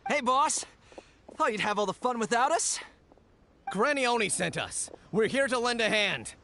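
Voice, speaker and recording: A man's voice: extremely high pitch, expressive, moderate pace, sharp tone, a little hiss or hum, heavily acted. An actor playing an eager, loyal gang henchman in a video game, with music behind. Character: eager, loyal male gang henchman backing his boss.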